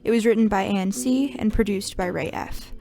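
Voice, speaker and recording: A woman's voice: mid-range voice, moderate pace, creaky. Woman reading scripted podcast credits. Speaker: credits announcer. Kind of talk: scripted narration.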